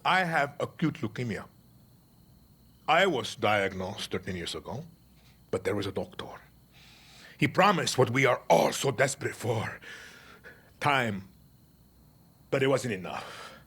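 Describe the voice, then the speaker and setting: An actor playing a middle-aged male dictator in a video game, cold, controlling and theatrical, speaking deliberately and commandingly. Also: medium pitch, animated, husky, full character voice. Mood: controlled, menacing. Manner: deliberate, commanding, dropping to hushed menace. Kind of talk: cinematic video game acting.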